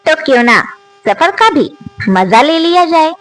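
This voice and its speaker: A woman telling a reflective moral story in a slow, earnest manner, rising on rhetorical questions as the channel's storyteller. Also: high voice, very animated, no echo.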